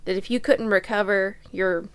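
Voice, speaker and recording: A woman's voice: mid-range voice, steady tone, moderate pace, a bit bright, clean tone. A woman co-hosting a casual podcast chat about films and books, matter-of-fact as she counters her co-host.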